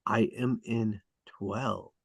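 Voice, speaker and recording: A man reading stage directions aloud at a script table read, in a deliberate, descriptive way. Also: on the low side, fairly even intonation, vocal fry, husky, no room sound, studio quality.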